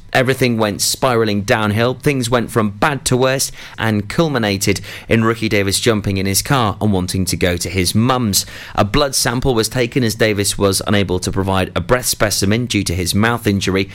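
A man's voice: on the low side, a bit bright. A man reading a scripted local news bulletin on the radio. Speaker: news reader.

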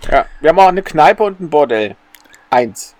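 A man's voice: a little high, expressive, moderate pace, a little mellow. A man chatting casually as a podcast co-host, wry and questioning.

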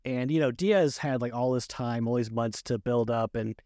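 A man co-hosting a podcast, chatting informally and haltingly about films and games. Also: mid-range voice, mellow, no echo, clean studio sound. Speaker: podcast co-host. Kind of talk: casual movie and game discussion.

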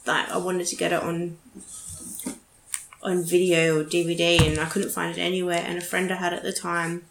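A woman's voice: on the low side, little pitch movement, moderate pace, vocal fry. A woman in her thirties chatting informally as a podcast co-host, talking like an enthusiastic fan. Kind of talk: casual chat. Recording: echoey room, noisy background, clicks.

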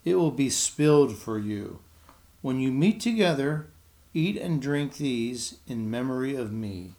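Woman doing a very low-voiced earnest preacher, slow, solemn, weighty, from a storybook reading. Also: animated, exaggerated voice. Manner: deep, slow and solemn, louder than her narration. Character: calm earnest man preaching to followers.

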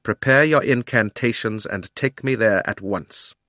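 A man's voice: fairly low pitch, sharp tone, no room sound, clean studio sound. A man voicing Jadis, a proud witch queen. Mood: imperious. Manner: slow, commanding, scornful delivery. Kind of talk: audiobook character voice.

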